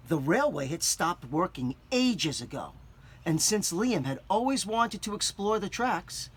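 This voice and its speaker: A man reading a picture book aloud to children, gently stopping to explain words and show things. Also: on the high side, slight background noise. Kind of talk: children's read-aloud with asides.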